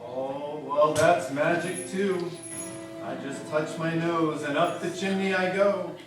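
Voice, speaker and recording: Man as jolly old gift-giver, gentle, fairly high male voice, puppet show, very echoey. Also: slow. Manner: gentle, reassuring, explaining. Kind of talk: children's puppet show acting. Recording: echoey, distant, music behind.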